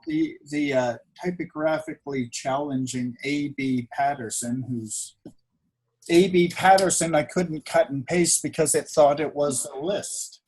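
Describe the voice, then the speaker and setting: A man co-hosting a reading event over a video call, speaking casually in a halting, teasing way. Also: a little high, darkish tone, a little hiss or hum, poor audio. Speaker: co-host.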